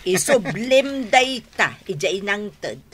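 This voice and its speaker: A woman's voice: mid-range voice, lively intonation, slow, a bit bright, slightly rough, heavily acted. A woman with a Northern Philippine accent playing a fussy, nagging mother of a grown son in a radio drama, scolding and motherly.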